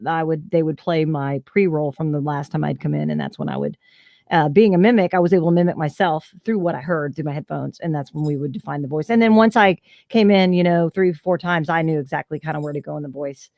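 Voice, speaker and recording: A woman's voice: low voice, fairly quick, a little mellow, no echo, clean studio sound. A woman voice actor, telling stories in a casual interview over a video call. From Southern United States. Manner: chatty, storytelling, lots of fillers. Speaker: voice actor guest.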